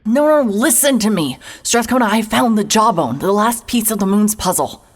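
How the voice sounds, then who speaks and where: A woman's voice: medium pitch, expressive, moderate pace, natural voice. An actress playing an eager young woman investigator in an audio drama, who talks in a breathless rush and stumbles over her words. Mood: urgent.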